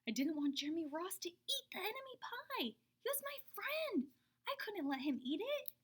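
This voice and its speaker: A woman reading a children's picture book aloud, expressive, dropping to whispers for suspense and pitching up for the child's lines. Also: normal speed. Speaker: storybook reader.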